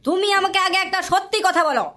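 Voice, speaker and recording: A performer voicing a whiny, foul-mouthed young son in a comedy cartoon, with an artificially pitched-up voice. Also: fast talker, bright, forceful tone. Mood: indignant. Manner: whiny, quarrelsome, swearing. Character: aggrieved, foul-mouthed young son pestering parents for money. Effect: artificially pitched-up cartoon voice filter.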